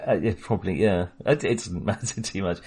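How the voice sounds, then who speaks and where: Chatty man, husky low voice. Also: brisk, a little mellow. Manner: chatty, hesitant, many fillers and laughs. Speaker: podcast co-host. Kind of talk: casual film discussion.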